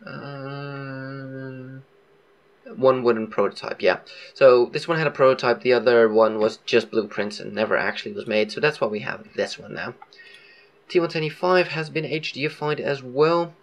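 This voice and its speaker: A young man with a head cold talks casually over his game footage, in a voice pitched right in the middle. He rambles along, stopping for ums and fixing himself as he goes. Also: normal speed. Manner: rambling, frequent ums and self-corrections. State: has a cold. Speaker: gaming video host.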